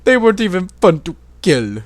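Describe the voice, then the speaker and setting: Shrill, swooping, gloating demon villain. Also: male voice, very animated, no room sound. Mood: condescending, gloating. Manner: condescending, drawn-out taunting.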